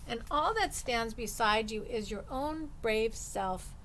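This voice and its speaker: A woman reading a children's picture book aloud expressively, with measured, deliberate phrasing. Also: on the high side, expressive, fairly bright tone, a little hiss or hum.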